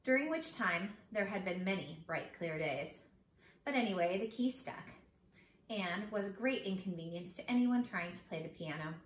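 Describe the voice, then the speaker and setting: A woman's voice: mid-range voice, expressive, moderate pace. A woman reading a children's storybook aloud expressively, speaking to young listeners as a teacher would. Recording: echoey room with background noise.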